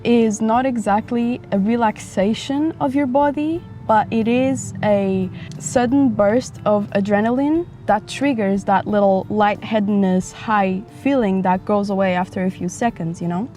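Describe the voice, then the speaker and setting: A woman in her twenties from Portugal, chatting frankly in a casual vlog, her voice a bit raspy from a dry throat, recorded outdoors near a highway. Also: measured pace, hardly any pauses, dark tone, faint music behind. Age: 20s. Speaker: vlogger. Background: passing motorcycles and traffic.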